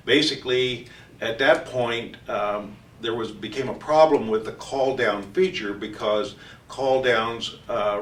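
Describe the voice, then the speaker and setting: A man giving an informal technical training explanation as a company trainer, speaking haltingly with frequent hesitations. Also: fairly low pitch, fairly bright tone. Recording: roomy echo, distant mic, faint noise.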